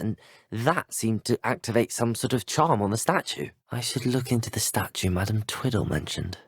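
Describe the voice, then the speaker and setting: An actor with a British accent playing a polite, earnest, curious teenage wizard student in a video game, speaking in a well-spoken, measured manner. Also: male voice, mid-range voice, breathy edge, no echo, clean studio sound. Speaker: video game player character. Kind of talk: scripted game voice acting.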